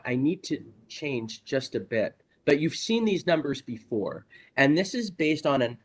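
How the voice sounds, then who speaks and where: Hoarse guy on a video call, earnest board report, mid pitch. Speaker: college administrator giving a report. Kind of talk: formal presentation at a board meeting.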